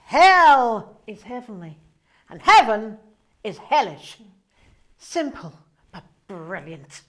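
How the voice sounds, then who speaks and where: Breezy angel sidekick for stage comedy, high and animated, theatrical, with dramatic pauses. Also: female voice, full character voice. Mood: breezy, wry. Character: breezy, fussing female angel Gabrielle, Saint Peter's sidekick. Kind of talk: comedy stage play dialogue.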